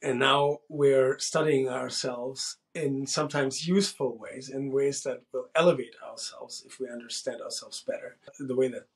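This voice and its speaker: A man's voice: medium pitch, fairly even intonation, normal speed, few pauses. A man in his forties, the host, talking thoughtfully to camera from a small room, often restarting his sentences. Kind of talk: casual monologue to camera.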